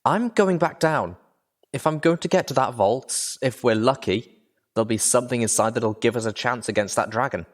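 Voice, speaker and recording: Storybook heroine: young mare, pitched up, breathless and urgent, worn out yet determined , performed by a male reader. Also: moderate pace, natural voice. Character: young female pony, exhausted, hurt, determined.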